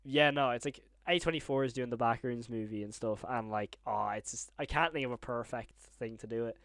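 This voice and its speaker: A man's voice: medium pitch, brisk, fairly bright tone, dry recording. A young man chatting casually as an enthusiastic, slangy host and interviewer. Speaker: YouTube horror reactor and interviewer, also a voice actor. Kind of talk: casual chat.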